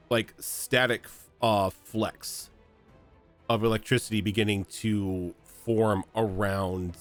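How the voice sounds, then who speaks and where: A man running a tabletop game as game master, narrating with many fillers and restarts over an online call. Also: fairly low pitch. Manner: hesitant, full of fillers and restarts.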